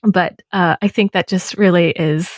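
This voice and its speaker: A woman in her thirties hosting an interview podcast, speaking in a measured, reflective way. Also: on the low side, steady tone, no room sound, studio quality. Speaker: podcast host. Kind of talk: conversational interview.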